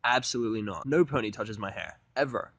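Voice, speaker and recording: A man's voice: fairly low pitch, a little fast, a bit bright, no echo. Irritable, sarcastic young man acted by a man. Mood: defiant, sarcastic. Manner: blunt, exasperated, sarcastic. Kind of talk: fan fiction audio drama dialogue.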